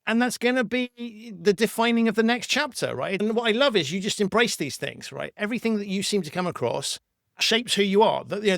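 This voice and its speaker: A man's voice: high-pitched, vocal fry, no echo, clean studio sound. A man hosting a podcast interview, affirming his guest and rephrasing his points as he builds to a question. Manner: warmly affirming, circling back to rephrase. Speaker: podcast host. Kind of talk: interview conversation.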